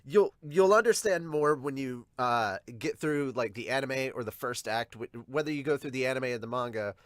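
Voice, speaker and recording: A man hosting a casual manga reaction, talking enthusiastically in a halting, digressive way. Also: mid-range voice, dry recording, studio quality. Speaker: podcast host.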